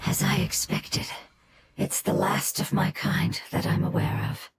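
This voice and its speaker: A woman voicing a gentle, weary spirit creature in a video game, speaking softly and solemnly with a breathy, whispery delivery. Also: medium pitch, little pitch movement, very noisy, lightly acted. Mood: sorrowful. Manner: solemn, soft-spoken, measured. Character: gentle weary female elemental spirit creature, wistful and serene. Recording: loud music underneath.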